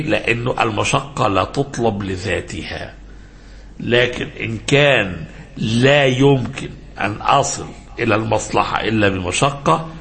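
An Egyptian-accented man giving an informal religious lesson as a sheikh, in a halting, didactic manner that prompts listeners with questions, mixing classical and colloquial speech. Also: mid-range voice, gravelly, a bit bright.

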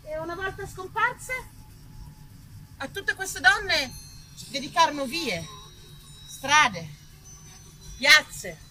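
A woman actress, performing an ironic, lively storytelling monologue and talking directly to her audience. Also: high-pitched, very slow, long pauses, faint music behind, poor audio.

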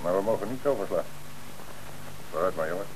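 A deep-voiced, measured commissioner. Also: male voice, lively intonation, background noise. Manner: measured, authoritative. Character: calm, shrewd male police commissioner. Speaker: police commissioner. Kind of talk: radio drama acting.

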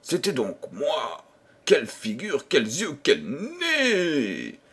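A man's voice: medium pitch, big pitch swings, unhurried. A man voicing a flustered, vain and gullible old king in a storybook tale, with exclamatory, stammering speech. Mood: bewildered, dismayed.

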